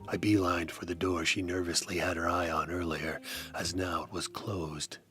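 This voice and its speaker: Deep-voiced deadpan noir narrator, man. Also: normal speed, faint music behind. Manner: dry, deadpan, wry. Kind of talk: hardboiled detective noir narration.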